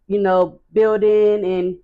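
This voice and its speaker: Soft-spoken woman, slightly dull sound. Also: mid-range voice, flat delivery, takes it slowly, clean tone. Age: 30s. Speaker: vlogger, expecting mother. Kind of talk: casual couple Q&A chat.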